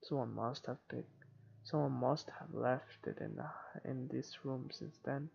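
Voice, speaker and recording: A man reading first-person horror game narration aloud in a dramatic way, with some halting stumbles. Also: mid-range voice, steady tone, creaky, darkish tone, muffled recording. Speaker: gamer reading a visual novel aloud. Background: game music.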